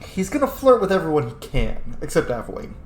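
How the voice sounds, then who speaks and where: A man giving casual, playful let's-play commentary in an animated voice. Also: on the high side, a little fast. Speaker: gaming streamer. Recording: roomy echo, faint noise.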